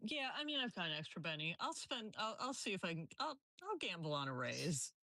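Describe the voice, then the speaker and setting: A woman's voice: lowish voice, big pitch swings, brisk, sharp tone, dry recording. A woman chatting casually as a player in a tabletop role-playing game.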